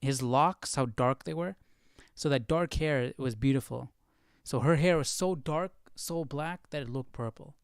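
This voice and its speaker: A man's voice: medium pitch, a little slow, smooth. A man hosting a live stream with casual, informal commentary, musing aloud and repeating phrases as he thinks. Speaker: host of a live Bible study stream.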